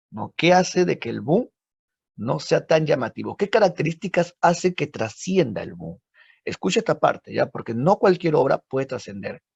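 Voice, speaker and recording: A man teaching a literature class, lively and full of rhetorical questions. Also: medium pitch, vocal fry, breathy edge, no echo. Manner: lively, asks rhetorical questions, frequent tag "¿no?". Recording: dull lo-fi dry audio.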